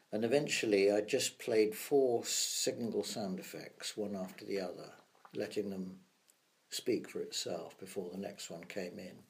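An older man, a sound effects veteran, telling measured, reflective stories from his working years in an interview. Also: lowish voice, darkish tone. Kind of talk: anecdotal interview storytelling.

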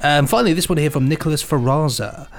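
A man's voice: fairly high pitch, fast, soft background music. A British man co-hosting a podcast, reading out listener emails in a casual, jokey, theatrical way. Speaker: podcast co-host.

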